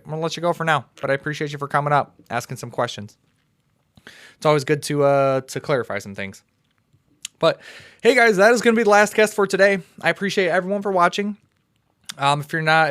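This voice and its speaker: A man's voice: on the high side, fairly bright tone, dry recording. Young American male streamer, casual host patter, clean dry voice. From United States. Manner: conversational, filler-heavy, upbeat sign-off. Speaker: livestream host. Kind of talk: casual call-in debate stream.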